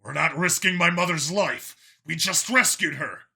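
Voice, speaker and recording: A man's voice: on the high side, normal speed, gravelly, breathy edge, exaggerated voice. A man voicing a determined, commanding young male soldier in a science fiction audiobook. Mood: urgent, defiant. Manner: forceful, commanding. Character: Vincent, determined young male soldier clone, gruff and urgent.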